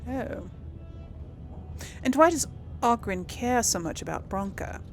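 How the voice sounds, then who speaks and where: Unhurried, animated woman let's player. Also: a little high. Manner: hesitant, reactive, thinking aloud. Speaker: gaming channel host. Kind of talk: casual role-play let's play commentary. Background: game music and sounds.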